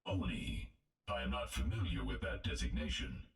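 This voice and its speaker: An actor playing a cold, arrogant killer robot in a video game, speaking formally and precisely with a gravelly voice. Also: male voice, extremely low pitch, moderate pace. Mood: haughty.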